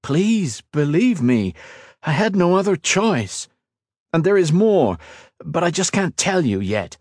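A man's voice: a little high, lively intonation, normal speed, dry recording, studio quality, voice pushed up. A man playing a desperate male mage in an audiobook, pleading urgently and earnestly.

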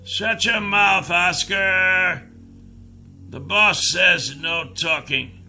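A man acting out gruff, menacing enforcers in a dramatized fantasy audiobook, with a gravelly voice and a sneering manner. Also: sits high, drawn-out words, bright, forceful tone, faint music behind, heavily acted. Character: gruff, bullying male enforcer and his jeering cronies.

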